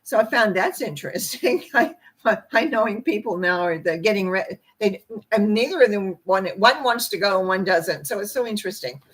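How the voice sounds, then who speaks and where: Hoarse, croaky older woman. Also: medium pitch, animated, moderate pace. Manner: rambling, folksy explaining with asides. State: hoarse after a treatment, clearing throat. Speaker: host, tarot reader and spiritual teacher. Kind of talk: informal spiritual talk to camera.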